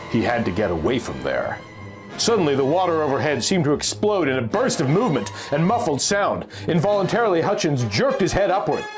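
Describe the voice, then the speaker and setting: A man narrating a scripted adventure story in a theatrical, suspenseful storytelling manner. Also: fairly high pitch. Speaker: narrator reading a pulp magazine story.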